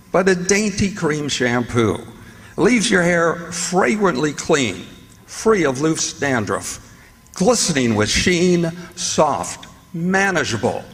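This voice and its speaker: A man reading scripted announcements in the measured, formal manner of a 1940s radio host. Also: fairly high pitch. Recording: reverberant radio recording, loud music behind. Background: loud music.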